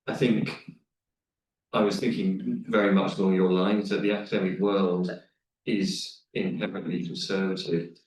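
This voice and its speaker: A man in the audience making a measured, deliberate remark. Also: deep voice, flat delivery, a little mellow. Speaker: audience member. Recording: distant, echoey room with faint noise.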